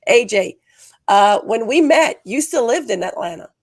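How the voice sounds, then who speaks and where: A woman hosting an interview show, energetic and emphatic, her voice slightly hoarse today. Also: fairly high pitch, unhurried, no room sound, clean studio sound. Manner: energetic, emphatic, restarts phrases. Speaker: podcast host. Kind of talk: scripted show opening and interviewing.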